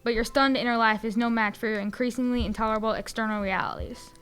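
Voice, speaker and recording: Young woman playing a brisk, deadpan, needling woman. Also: a little high, natural voice. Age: young adult. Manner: deadpan, sardonic. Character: dry, needling woman, mobster's wife and therapist. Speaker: actor in a student audio play. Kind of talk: comic radio play acting.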